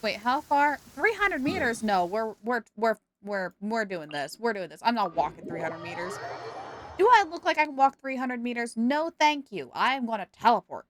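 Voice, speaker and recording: A woman's voice: on the high side, very animated, moderate pace, a bit bright. A woman hosting a game livestream, chatting casually and reacting playfully and expressively to what happens.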